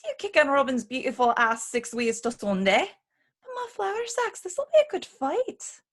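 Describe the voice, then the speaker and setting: A woman's voice: high voice, very animated, normal speed. A woman playing a sassy, sarcastic lady's maid, loyal but teasing, in a radio play. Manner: sarcastic, needling.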